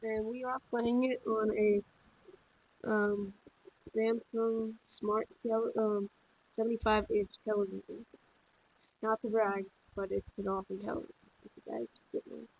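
A young man whose voice comes across as girlish talks in a flat, level way, tossing out chatty asides to the audience. The sound is dull and lo-fi, like a cheap home mic. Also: high voice, unhurried, gravelly, pauses often, a little hiss or hum. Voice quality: voice he says sounds girly. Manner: chatty, offhand asides to viewers. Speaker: co-host of a gameplay video. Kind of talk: casual gameplay commentary.

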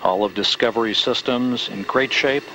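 A man giving live, measured launch commentary in a control-center broadcast, stating altitude, speed and timing. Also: mid-range voice. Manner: steady, measured, detail-heavy. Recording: noisy broadcast audio with rocket roar.

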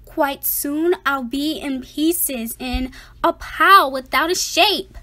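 A woman voicing an anxious, dramatic five-year-old boy in an expressive picture-book read-aloud, with emphatic, theatrical delivery. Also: high-pitched, takes it slowly, full character voice. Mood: scared, fretful.

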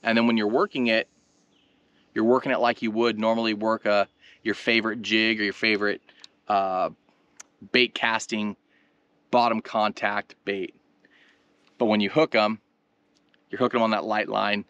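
A man's voice: fairly low pitch, flat delivery, normal speed, long pauses, fairly bright tone, dull audio. A man hosting an instructional video, explaining gear and technique in an informal, conversational way, speaking straight to viewers. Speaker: host of a fishing tutorial channel. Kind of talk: casual instructional demonstration talk.

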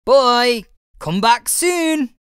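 A woman's voice: a little high, expressive, drawn-out words, smooth, no echo, clean studio sound. A woman voicing a friendly male leprechaun shoemaker with a playful brogue-style way of talking, in a children's storybook read-aloud. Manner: welcoming, explaining patiently.

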